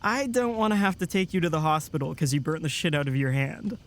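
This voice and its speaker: A man's voice: a little high, fast, close to an everyday voice. An actor playing a friendly, eager 21-year-old male camp counselor in an audio drama, good-natured and casual. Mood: teasing.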